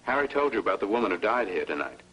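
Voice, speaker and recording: An actor playing a grave, guarded doctor with a formal, clinical manner, in 1950s B-movie style. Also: male voice, fairly low pitch, big pitch swings, very fast, fairly bright tone, rough recording. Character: grave, guarded male doctor and blood researcher. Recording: old film audio with faint hum.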